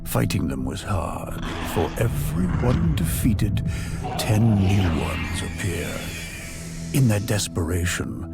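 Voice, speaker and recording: An actor playing a wise, patient old tree creature who tells lore in a solemn, formal, gravelly voice for a fantasy game. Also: male voice, fairly low pitch, very animated, slow pace, loud music behind the voice, exaggerated voice. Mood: solemn narrating.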